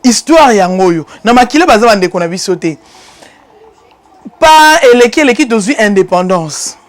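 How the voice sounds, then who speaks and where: A woman with a Congolese accent holds forth as the host of her own commentary show, her voice sitting at an ordinary middle pitch. She drives her points home emphatically, jumping up in pitch and volume, then sinking low and soft. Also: lively intonation, moderate pace. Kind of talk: impassioned political commentary monologue.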